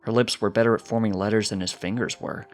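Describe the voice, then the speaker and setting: A man narrates fiction from a script in a fairly low voice. Music plays quietly behind his reading. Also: steady tone, fast.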